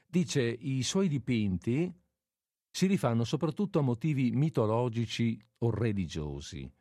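Hesitant, mellow male radio host. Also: medium pitch, expressive, a little slow, no room sound, studio quality. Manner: hesitant, searching for words. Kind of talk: unscripted cultural radio talk.

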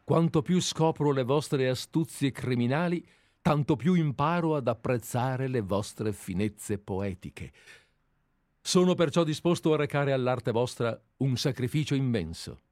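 A man's voice: mid-range voice, expressive, unhurried, darkish tone, no echo, studio quality. A man reading aloud as an obsessive, deferential admirer who has tracked a writer for years, in a dramatized radio play reading. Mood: obsequious. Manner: earnest, deferential, methodical. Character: obsessive, fawning male admirer-sleuth. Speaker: reader.

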